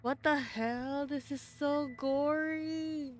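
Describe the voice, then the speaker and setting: A woman game streamer performing a visual novel in a theatrical, expressive way. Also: high voice, slow pace, slight background noise. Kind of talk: dramatic reading of visual novel narration with casual reactions. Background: faint game music.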